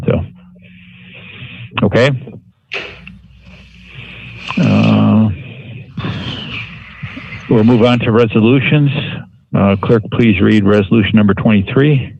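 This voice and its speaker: A man chairing a county board meeting in formal procedural language, with a somewhat halting delivery. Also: on the low side, steady tone, gravelly, highs cut off, slight background noise.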